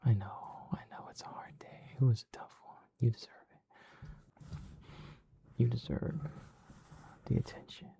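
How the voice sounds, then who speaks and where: A man whispering ASMR in the persona of a dog, with soft, coaxing, soothing pet-talk. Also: medium pitch, steady tone, a little slow, vocal fry, pauses often.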